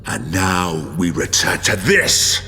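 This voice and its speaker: An actor playing a brooding, embittered and ruthless warlord in a dramatic audiobook, grim, deliberate and theatrical. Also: male voice, low voice, sing-song, bright, forceful tone, heavily acted. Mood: cold menace. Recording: long hall reverb, music behind.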